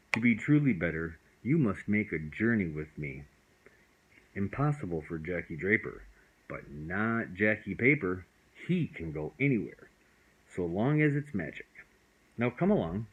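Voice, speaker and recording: A cheerful magic dragon from a bedtime story, acted out by a man. The voice is husky and swings freely up and down. It chatters with grand whimsy and kindly reassurance. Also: sits low, lively intonation, unhurried, dull audio, heavily acted. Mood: playful, inviting.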